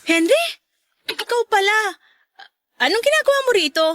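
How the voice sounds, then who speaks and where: Actress as a very high-voiced, teasing girlfriend. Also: very animated, moderate pace, fairly bright tone, a little hiss or hum, exaggerated voice. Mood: uneasy, guarded. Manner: playful, teasing. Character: Jem, warm teasing single mother in love. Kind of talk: Tagalog radio drama dialogue.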